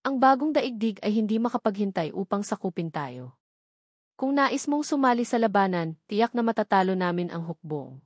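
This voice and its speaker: A woman's voice: medium pitch, expressive, moderate pace, darkish tone, no room sound, clean studio sound, lightly acted. A woman voicing a brash, teasing male warrior leader in a fantasy audiobook, taunting and self-assured. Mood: solemn.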